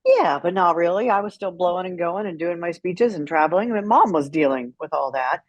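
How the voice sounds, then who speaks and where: A woman's voice: lowish voice, brisk, no room sound, lo-fi sound. A middle-aged American woman telling stories as an interview guest in a candid, wry, conversational way. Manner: candid, wry, animated retelling with quoted dialogue. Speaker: interview guest, caregiver advocate. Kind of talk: conversational storytelling.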